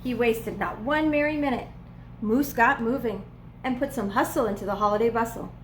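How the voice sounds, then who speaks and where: A woman reading a children's storybook aloud as a preschool teacher would, with expressive, playful emphasis. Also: on the high side, normal speed, slight background noise. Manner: dramatic, playful emphasis on repeated words.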